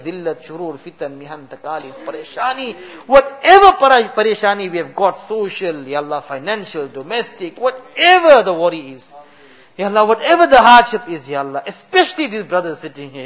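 Male imam, impassioned pleading prayer, high and very expressive. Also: muffled recording. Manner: pleading, rising to impassioned cries. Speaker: imam leading a supplication. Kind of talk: emotional prayer in a mosque. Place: mosque.

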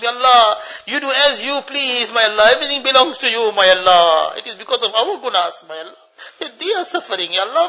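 A man's voice: very high-pitched, bright, forceful tone. An older man leads a tearful congregational prayer, pleading and repeating each plea with a refrain, his voice choked with tears. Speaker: imam leading a supplication. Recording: dull lo-fi recording.

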